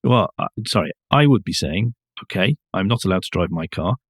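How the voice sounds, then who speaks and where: A man with a slightly low voice discusses motoring news as a podcast co-host. His delivery is conversational, wry and blunt. He is recorded very dry, without audible room. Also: lively intonation, brisk, husky, no room sound.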